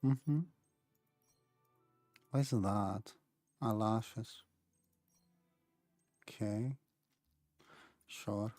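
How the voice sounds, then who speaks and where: Casual game streamer, unhurried muttered reactions, dry close sound over soft music. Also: medium pitch, no echo.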